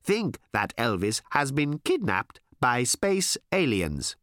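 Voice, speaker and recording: A storyteller voicing a naive, earnest space alien who speaks in stilted, literal, drawn-out phrases. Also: medium pitch, very animated, a bit bright, no echo, clean studio sound, full character voice. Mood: earnest, blank.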